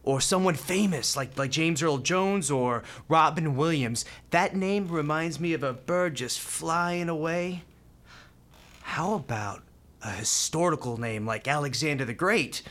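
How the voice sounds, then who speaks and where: A man's voice: on the high side, expressive, unhurried, a bit bright. An actor playing a cheerful, kindhearted male hospital volunteer in a radio play, folksy, playful and talkative. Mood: warm, chatty.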